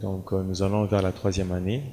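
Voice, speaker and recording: A man's voice: sits low, level tone, brisk, warm tone. A man representing a company who answers interview questions hesitantly, with many fillers. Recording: reverberant room with faint noise.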